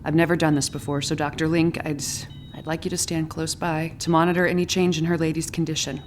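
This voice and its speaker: A woman's voice: low voice, level tone, fast talker, a little hiss or hum, close to an everyday voice. Actress as earnest woman doctor in echoing hall. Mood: concerned, resolute. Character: earnest, determined woman doctor trying to heal with alien energy. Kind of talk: full-cast sci-fi audio drama acting. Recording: echoey hall reverb under loud music.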